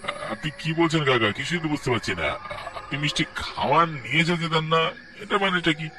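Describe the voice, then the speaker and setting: A man's voice: a little high, a little fast, voice pushed up, natural voice. A man voicing a nervous young male friend in a cartoon, talking in an anxious, rising, pleading way. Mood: alarmed. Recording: distant mic with noisy background.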